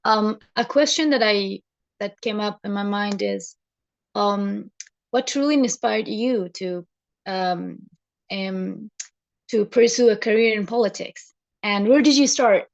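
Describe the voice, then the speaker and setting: A woman's voice: medium pitch, slow, no room sound. A woman participant politely and a little hesitantly asking questions on a video call. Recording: dull, dry video call audio.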